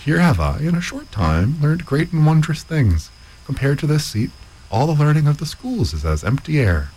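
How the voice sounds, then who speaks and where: A man's voice: a little high, very animated, normal speed, darkish tone, slightly rough, voice pushed up, low-quality recording. Man doing a sly gardener with a lofty, solemn act, raised voice, swinging melody, faint hiss behind. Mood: grandiose. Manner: grand, solemn, archaic speech. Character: crafty male gardener posing as sage.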